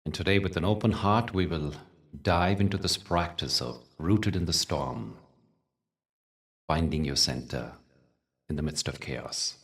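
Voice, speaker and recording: A man leading a guided meditation as a teacher, speaking gently in short phrases with long pauses. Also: rumbling low, far from the mic. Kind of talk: guided meditation with spiritual talk.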